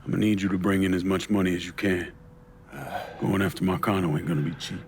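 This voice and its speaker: A man voicing a calm, hard war veteran in a video game, speaking in terse, laconic lines. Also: low-pitched, fast talker, slight background noise, natural voice. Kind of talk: video game cutscene acting.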